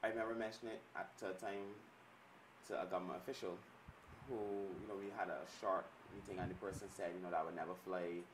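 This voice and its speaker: A man in his thirties from Barbados speaks with a very low voice in a measured, reflective way. He sits some distance from the mic, and a steady noise hiss runs under his words. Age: 30s. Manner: measured, reflective storytelling with restarts. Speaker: music business executive. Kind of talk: interview answers. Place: indoor studio set with glass table and armchairs.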